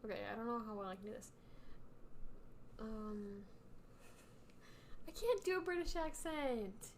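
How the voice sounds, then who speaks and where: A woman podcast co-host with a fairly high voice reacts casually. She is playful and pokes fun at herself, with slight noise in the recording. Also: big pitch swings, measured pace. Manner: playful, self-deprecating. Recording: faint background noise.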